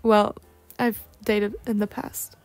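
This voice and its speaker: A woman's voice: mid-range voice, flat delivery, slow pace, darkish tone, dry recording, faint music behind, close to an everyday voice. A young woman voicing a polite, bookish, insecure cougar-girl on a date in an ASMR roleplay, speaking formally with flustered hesitations. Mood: reserved, earnest.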